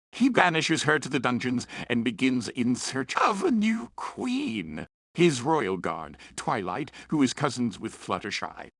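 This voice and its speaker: A man presenting a review in a lively, swinging voice with some fry in it. He is chatty and opinionated, slipping playful asides into his scripted narration, and the sound is dry and close. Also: medium pitch, no echo. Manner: chatty, self-aware, opinionated. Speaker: host and reviewer of fan fiction and audio dramas.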